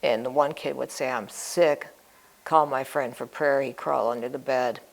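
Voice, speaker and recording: Older American woman, low gravelly voice. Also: steady tone, moderate pace, poor audio. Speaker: interview guest. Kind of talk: personal life-story interview.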